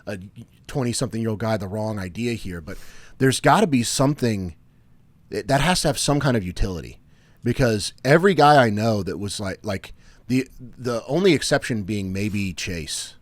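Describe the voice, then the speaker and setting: A man in his thirties hosting a casual podcast chat, often restarting his sentences and trailing off quietly. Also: lowish voice, animated, normal speed, dry recording. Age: 30s. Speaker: podcast host.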